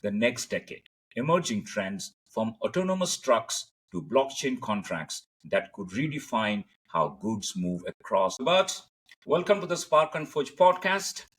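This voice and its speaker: A man's voice: medium pitch, big pitch swings, measured pace, fairly bright tone, slightly rough, soft background music. A man hosting an interview podcast, speaking with emphatic, formal phrasing. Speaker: podcast host.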